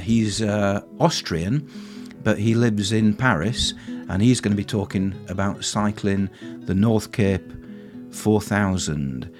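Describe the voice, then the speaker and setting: A man hosting a podcast and interviewing a guest in a relaxed, plain-spoken way. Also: lowish voice. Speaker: podcast host.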